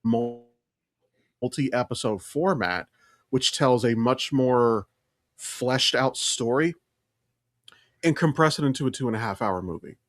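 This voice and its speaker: A man's voice: mid-range voice, lively intonation, takes it slowly, long pauses, dry recording, soft background music. A man co-hosting a podcast in casual conversation, blunt and opinionated, swearing freely as he comments. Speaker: podcast co-host. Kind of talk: casual chat, film commentary.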